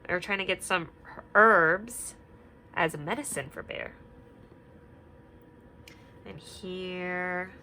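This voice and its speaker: A young woman teacher reading a picture book aloud and asking the children gentle questions, sometimes dropping to a whisper. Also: fairly low pitch, a little hiss or hum, poor audio. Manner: drops to a whisper at quiet parts.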